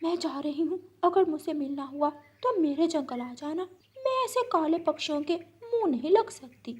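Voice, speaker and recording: A woman's voice: high-pitched, expressive, moderate pace, pitched above the performer's own voice. A woman voicing a snooty, disdainful female bird in a cartoon, dismissive in manner.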